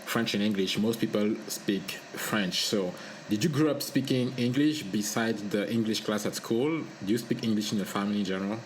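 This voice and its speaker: Low-voiced podcast host, faint hiss. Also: male voice, rough recording. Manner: conversational, hesitant with filler pauses. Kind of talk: interview questions.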